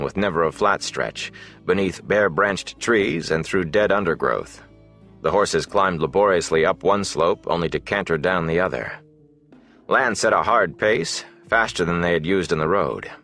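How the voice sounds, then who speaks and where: A man's voice: sits low, fairly bright tone. A man reading a fantasy novel aloud as scripted audiobook narration.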